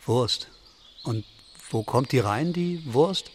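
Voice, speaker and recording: A man's voice: medium pitch, animated, takes it slowly, slight background noise. An actor playing an introverted, tidy, mild-mannered man in a naturalistic radio drama, speaking hesitantly. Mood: subdued, hesitant.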